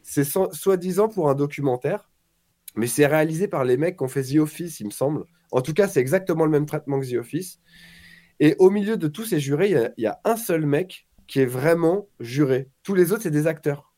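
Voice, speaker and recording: A man in his forties talks as a guest on a relaxed podcast. His voice sits a little high, and he tells stories with energy, jumping into little acted-out quotes. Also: brisk. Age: 40s. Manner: animated storyteller, acts out quotes. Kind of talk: casual chat.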